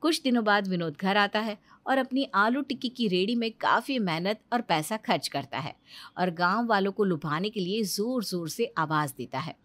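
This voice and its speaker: A woman narrator reading a scripted children's story in an expressive storytelling manner. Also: medium pitch, moderate pace, no room sound.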